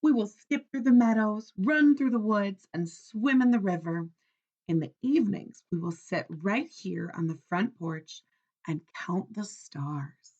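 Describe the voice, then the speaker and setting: A woman's voice: medium pitch, animated, unhurried, a little mellow, dull audio. A woman voicing an eager, cheerful male frog in a children's storybook, coaxing and insistent. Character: eager, cheerful male frog urging his friend awake.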